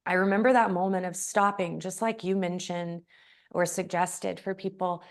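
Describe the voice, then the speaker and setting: Gentle woman host, halting with pauses. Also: on the low side, flat delivery. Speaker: podcast host. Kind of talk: conversational interview storytelling.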